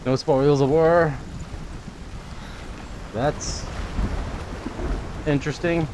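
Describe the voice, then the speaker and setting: A man's voice: fairly high pitch, steady tone, extremely slow. A man giving casual let's-play commentary, muttering short reactions as he plays a video game. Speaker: gamer, let's player. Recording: very noisy, game sound behind. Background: loud video game audio.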